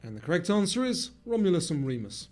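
Lively higher-pitched man presenting a quiz. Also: fast talker. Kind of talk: scripted quiz narration. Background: background music.

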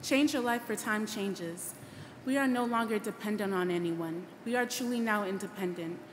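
A young woman in her late teens from Ghana, giving an earnest, formal scripted graduation speech at a podium microphone. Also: medium pitch. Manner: earnest, formal, measured oratory. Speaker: graduating student speaker. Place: large auditorium stage at a podium. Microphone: podium microphone on a boom stand. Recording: echoey hall PA, loud background noise.

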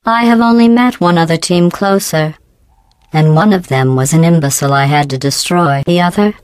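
A woman playing a sinister, passive-aggressive female artificial intelligence in a video game, deadpan and precise, with robotic voice processing. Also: on the low side, no room sound. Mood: mocking. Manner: deadpan, precise, sardonic, measured. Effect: robotic synthetic voice processing. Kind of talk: scripted video game character acting.

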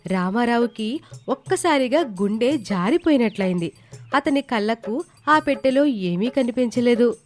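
A woman's voice: fairly high pitch, expressive, measured pace. A woman reading a scripted moral tale as the narrator, in storytelling style. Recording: background music behind the voice. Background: music.

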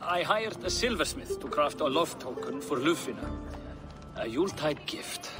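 A wry, lilting Norse official. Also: male voice, fairly high pitch, big pitch swings, normal speed, over music. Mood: wry, courteous. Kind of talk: dramatic game cutscene acting.

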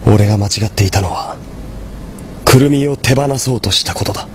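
A man's voice: fairly low pitch, brisk, noisy background, natural voice. Man acting a cool, resolute young mafia lord. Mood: firm resolve. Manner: terse, commanding, composed. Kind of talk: Japanese drama CD acting.

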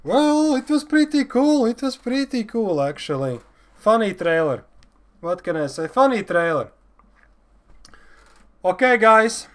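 A man in his thirties giving casual, excitable off-the-cuff commentary as a reaction video host, often exclaiming and repeating his phrases. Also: high voice, measured pace, long pauses. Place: indoor room. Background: game trailer music.

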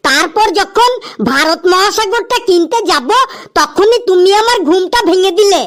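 A performer voicing a high-pitched silly cartoon son. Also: expressive, normal speed, a bit bright, full character voice. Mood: boastful. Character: silly lazy young man, cocky dim-witted dreamer. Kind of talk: Bengali comedy cartoon dialogue.

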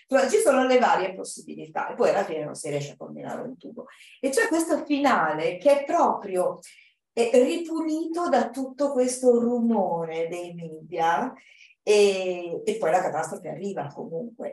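A woman's voice: on the low side, a little mellow. A woman hosting a seminar, commenting informally and hesitantly as she thinks aloud in a classroom. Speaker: lecturer hosting a guest. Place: classroom, indoors. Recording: echoey classroom sound.

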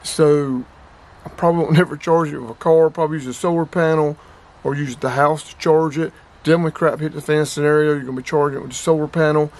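A man's voice: fairly high pitch, fairly even intonation, fast, a little mellow. A man in his fifties hosting a casual vlog, talking through a product demo in a plain-spoken, rambling way that repeats itself, close to the camera in a small storage room. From North Carolina. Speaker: outdoors and radio channel host.

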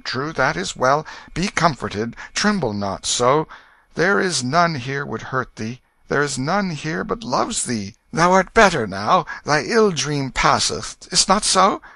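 A man acting a doting old king, forceful and lively. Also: medium pitch, dry recording, voice pushed up. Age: older. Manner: archaic, stately, coaxing speech. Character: stern old king turned gentle, anxious, doting father. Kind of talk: audiobook character voice.

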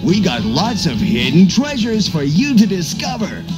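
An actor playing an upbeat, folksy countryside announcer in a retro holiday radio commercial, selling with enthusiasm. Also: male voice, high-pitched, wildly swooping pitch, unhurried, full character voice. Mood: upbeat inviting. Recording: loud music behind the voice.

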